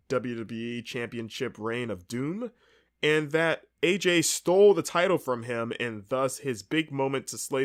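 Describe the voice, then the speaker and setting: Male podcast host with a cold, congested and wry, chatty solo recap. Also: fairly high pitch. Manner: rambling, wry and sarcastic. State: congested, with sniffles. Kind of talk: casual wrestling recap.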